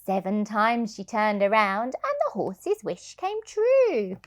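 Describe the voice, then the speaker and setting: A woman reading a children's storybook aloud as a childminder, in an expressive, sing-song way. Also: mid-range voice, takes it slowly.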